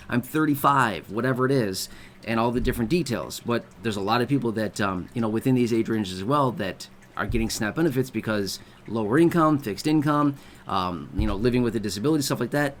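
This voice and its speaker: A man's voice: medium pitch, slight background noise. A man hosting an online video, talking casually as he explains and often checks in with his listeners. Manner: conversational, keeps checking in with the listener. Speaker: video host explaining benefits.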